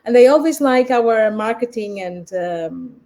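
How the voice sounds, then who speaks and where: A woman's voice: fairly high pitch, animated, a little slow, dark tone. A woman from Portugal giving a research presentation in a webinar over a video call, speaking hesitantly with many fillers and restarts.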